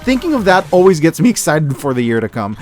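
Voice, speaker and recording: A man giving scripted video-essay commentary as an online reviewer, enthusiastic and expressive with wry asides. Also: on the high side, fast talker, soft background music. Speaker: online media reviewer and host.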